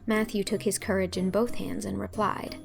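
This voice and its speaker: A woman narrating a classic novel with an even, storytelling delivery. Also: medium pitch, moderate pace, vocal fry, soft background music. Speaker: audiobook narrator. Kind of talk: scripted narration.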